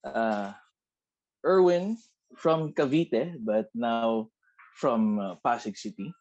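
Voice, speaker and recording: A man's voice: medium pitch, lively intonation, slow, a little mellow, highs cut off. A man introducing himself on a video call in an easygoing way.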